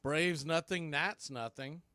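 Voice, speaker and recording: A man doing live baseball play-by-play on radio, speaking in clipped phrases with pauses between calls. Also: fairly high pitch, bright, forceful tone, dry recording.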